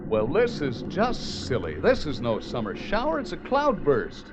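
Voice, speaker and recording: A man's voice: fairly high pitch, wildly swooping pitch, a little slow. An actor playing an obliging, easygoing man in a 1950s radio drama, casual and reassuring. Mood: matter-of-fact. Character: obliging, easygoing young man, a gallant motorist. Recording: muffled old radio recording, faint car noise.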